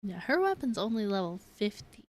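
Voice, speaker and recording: A woman's voice: mid-range voice, very animated, fairly quick, slight background noise. A woman game streamer chatting casually, musing aloud and indecisively to her viewers. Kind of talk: casual livestream chat.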